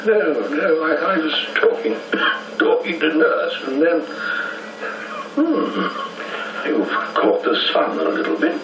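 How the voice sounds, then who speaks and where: An ailing, courteous English gentleman in a period radio drama. He speaks slowly and haltingly in a genteel English accent, pitched a little high and varying freely. The recording is roomy and noisy. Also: sing-song, heavily acted. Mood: weary, unwell. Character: ailing, courteous English gentleman, older father, anxious and frail. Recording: roomy, noisy, distant radio broadcast copy.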